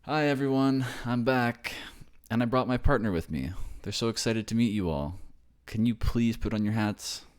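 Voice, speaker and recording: A man's voice: medium pitch, moderate pace, lightly acted. A man acting in an ASMR roleplay as an earnest, reassuring boyfriend raised by ghosts, with a hesitant manner. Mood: reassuring, mildly exasperated.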